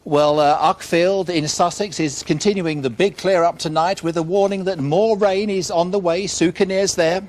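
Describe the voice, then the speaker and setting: A British man presents studio news as an anchor. His voice sits a little higher than most men's. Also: fairly even intonation, moderate pace, hardly any pauses.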